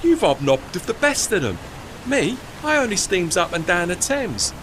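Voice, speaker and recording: An actor voicing a chummy, unschooled working steamboat in an audio play, blunt and colloquial, with a London Cockney accent. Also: male voice, sits high, big pitch swings, moderate pace, fairly bright tone, a little hiss or hum. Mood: curious, envious. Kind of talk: audio drama dialogue.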